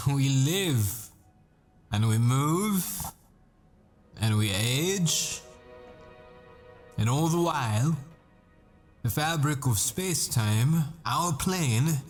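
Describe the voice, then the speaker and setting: A man playing a tender, desperate boyfriend at the world's end in an intimate ASMR roleplay, murmuring breathily and haltingly. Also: medium pitch, animated, soft background music. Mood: pensive, hushed.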